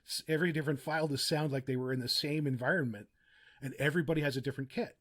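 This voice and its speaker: A man's voice: fairly high pitch, racing, a bit bright. A man speaking casually as a podcast guest, explaining audio production in chatty, meandering asides. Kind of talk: casual interview chat, giving practical advice.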